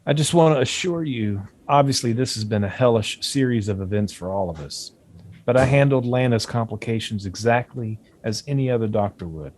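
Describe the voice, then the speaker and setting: A man at a screenplay table read playing a formal, condescending doctor with veiled menace and a measured, guarded delivery. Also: mid-range voice, darkish tone, lightly acted. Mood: defensive.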